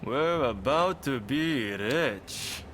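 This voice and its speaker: Very slow, gloating bandit. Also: male voice, medium pitch, expressive, a bit bright, slight background noise. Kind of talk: video game villain acting.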